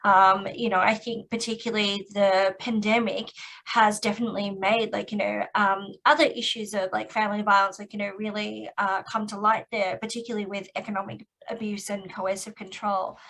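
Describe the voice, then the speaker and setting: A woman's voice: mid-range voice, flat delivery, moderate pace, vocal fry, a bit bright, rough recording. A woman moderating an online panel, talking casually with lots of fillers. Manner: chatty, filler-heavy, with many likes and you knows.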